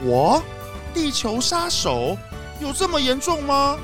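Woman as a high-voiced, whiny, sly fox thief. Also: wildly swooping pitch, slow, over music, full character voice. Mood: defiant. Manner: whiny, protesting, dramatic. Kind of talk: children's audio drama acting.

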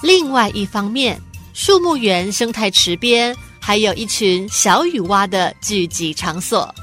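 A woman narrator tells a storybook-style radio tale. Her voice is bright and fairly high with lively swings in pitch, over light music. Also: unhurried.

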